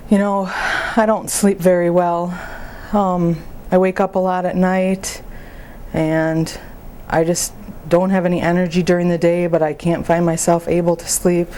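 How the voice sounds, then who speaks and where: Woman acting a grieving, low-voiced young mother. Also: level tone, a little mellow, a little hiss or hum, close to an everyday voice. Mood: weary, grieving. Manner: halting, plainspoken, confiding. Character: grieving young mother, sad, exhausted, openly hurting. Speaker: grieving mother on a follow-up support call. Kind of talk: role-played counseling phone conversation.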